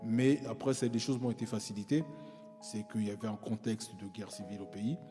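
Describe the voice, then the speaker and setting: A man's voice: on the low side, steady tone, brisk, big-room sound, music in the background. A man guest recounting his schooling in conversation, hesitant, with many fillers and restarts. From France, parents from Congo-Brazzaville. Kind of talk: personal storytelling in conversation.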